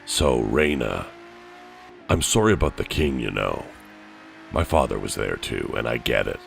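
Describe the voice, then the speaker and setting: A man playing a gruff, blunt but well-meaning warrior in an audio drama, with a deep, gravelly voice. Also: lively intonation, unhurried, a little hiss or hum, exaggerated voice. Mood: gruff sympathy.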